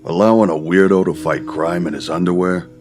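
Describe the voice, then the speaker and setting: Blunt, resentful cop, male actor, very deep and gruff, comic fan dub. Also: fairly even intonation, fairly quick, darkish tone, lightly acted. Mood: indignant.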